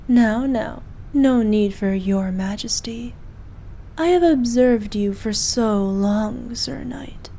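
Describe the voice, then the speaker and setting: A woman playing a regal, possessive evil queen who dotes on her knight in an intimate ASMR roleplay, speaking formally and softly, at times in breathy whispers. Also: fairly high pitch, slight background noise. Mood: tender, possessive. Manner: regal, slow, archaic formal speech.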